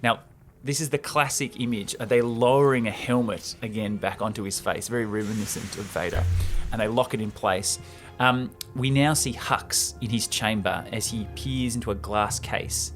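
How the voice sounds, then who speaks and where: A man hosting a film show, giving casual commentary and an animated, slangy recap of a story. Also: fairly low pitch, moderate pace, faint music behind. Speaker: host of a film report show.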